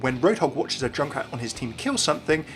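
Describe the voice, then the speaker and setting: Fast-talking man, chatty game commentary. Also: mid-range voice, big pitch swings, fairly bright tone, faint music behind. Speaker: video game lore video host. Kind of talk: scripted voice-over commentary.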